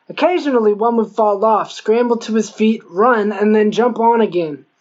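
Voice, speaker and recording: Male audiobook narrator, slightly dull sound. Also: sits high. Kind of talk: scripted narration of a comic novel.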